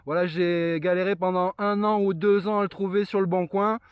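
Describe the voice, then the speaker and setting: A man's voice: sits high, steady tone, moderate pace, smooth, dry recording, highs cut off. A man chatting casually and explaining things as a radio operator. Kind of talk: casual radio contact chat.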